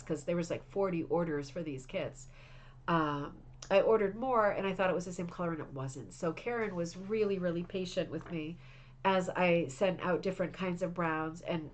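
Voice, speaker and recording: A woman with a slightly low voice and a touch of congestion hosts a relaxed craft club stream. She chats warmly and eagerly about viewers' projects, often restarting her sentences as she goes. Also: a little hiss or hum. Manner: chatty, enthusiastic, frequent restarts and fillers. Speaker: host of a craft club livestream. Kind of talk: casual chat reading viewer messages.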